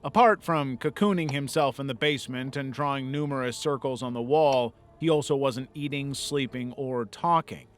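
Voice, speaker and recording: A man's voice: medium pitch, moderate pace, few pauses, slight background noise. A man reading a scripted narration in the role of a video essay narrator. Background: background music.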